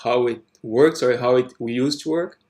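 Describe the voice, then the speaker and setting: A man's voice: mid-range voice, unhurried, a little mellow. A man from Brazil with a Brazilian accent, hosting an interview and carefully checking that he has understood his guest. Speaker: podcast host. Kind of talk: casual interview.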